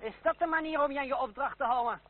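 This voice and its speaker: An actor playing a bullying revolutionary official in a radio drama, loudly and scornfully barking orders at underlings. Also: male voice, very high voice, racing, noisy background. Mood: angry, commanding. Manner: shouting, commanding, scornful. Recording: tinny, lo-fi old radio recording.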